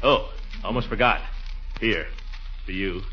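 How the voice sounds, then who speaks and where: An actor playing a pompous, excitable middle-aged bachelor uncle with a blustery, chuckling, theatrical delivery in a 1940s radio comedy. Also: male voice, fairly low pitch, unhurried, natural voice. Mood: flirtatious, eager. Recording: muffled vintage 1940s radio recording.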